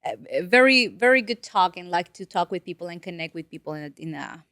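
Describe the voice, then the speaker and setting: A woman's voice: fairly low pitch, quick pace, dry recording, clean studio sound. Earnest woman from Peru, Spanish native. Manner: earnest, animated storytelling with restarts. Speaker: financial advisor guest. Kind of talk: casual interview talk.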